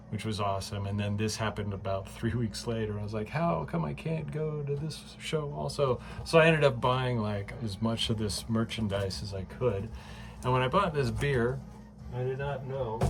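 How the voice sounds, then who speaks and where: Chatty, excitable man with low voice. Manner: chatty and excitable, many fillers, laughs often. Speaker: vlogger. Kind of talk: casual home vlog. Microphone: phone camera.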